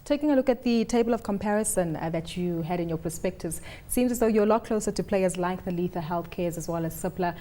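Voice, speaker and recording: A woman anchoring a business news interview, asking brisk, probing questions. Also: fairly low pitch, mellow.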